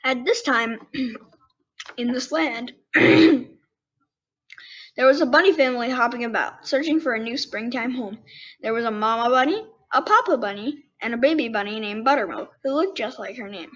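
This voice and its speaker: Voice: medium pitch, normal speed, fairly bright tone, highs cut off. A ten-year-old child host reading a storybook aloud, with casual asides to the listeners. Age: 10 years old.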